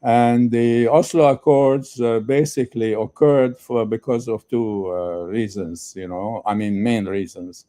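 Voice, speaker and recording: Older man, from Lebanon, smooth resonant voice, halting pauses, conference interview. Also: mid-range voice, mellow. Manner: halting, many fillers. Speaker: guest speaker, retired professor.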